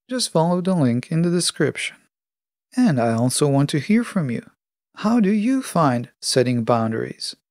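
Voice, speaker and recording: Gravelly, dry-sounding podcast host. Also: mid-range voice, darkish tone, no echo. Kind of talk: scripted solo podcast narration.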